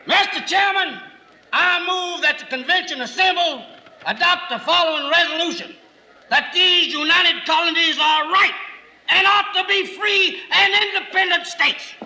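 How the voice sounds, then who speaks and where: A man's voice: extremely high pitch, measured pace, sharp tone, full character voice. A man playing a formal, resolute colonial congress delegate, oratorical, in an old-time radio drama. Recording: noisy, echoing hall, dull vintage radio.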